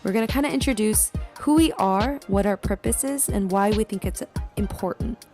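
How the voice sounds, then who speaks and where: A woman's voice: medium pitch, moderate pace, over music. A woman from the US Pacific Northwest hosting a casual podcast, playful and often laughing. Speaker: podcast host.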